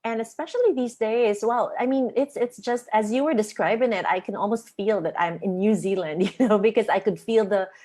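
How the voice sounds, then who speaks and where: A woman's voice: mid-range voice, brisk, hardly any pauses, warm tone. A woman hosting a webinar on a video call, upbeat and polite, with lots of "uh"s and "um"s. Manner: upbeat, polite, many fillers. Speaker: webinar host.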